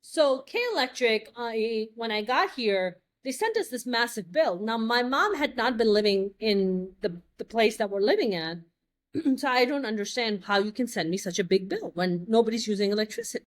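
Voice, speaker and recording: Animated American woman telling blunt stories. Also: a little high, normal speed. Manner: lively, blunt, swears and jokes freely. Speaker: guest. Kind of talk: casual storytelling chat.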